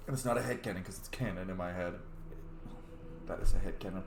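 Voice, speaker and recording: A young man casually commenting on a video game as he plays, muttering to himself with excited bursts. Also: low-pitched, fast talker, vocal fry, background noise. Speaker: gamer. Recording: echoey room.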